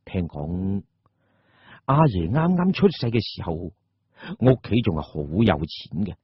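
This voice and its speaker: Male Cantonese storyteller: very raspy, suspenseful dramatic narration, deliberate pauses, dull close dry sound. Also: medium pitch, no echo. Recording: muffled, dull audio.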